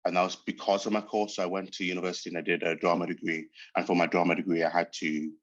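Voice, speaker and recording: A man reflects on his path as an actor, pausing often to search for words. He grew up speaking Portuguese first. The sound is dull and muffled. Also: sits low, little pitch movement. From Angola. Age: 30s. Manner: thoughtful, hesitant, searching for words. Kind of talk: reflective interview monologue.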